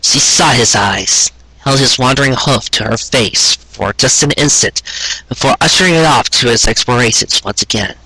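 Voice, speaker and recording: A man's voice: mid-range voice, normal speed, bright, forceful tone, husky, no echo, poor audio. A man narrating a scripted story in an earnest, sentimental manner. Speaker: narrator reading fan fiction.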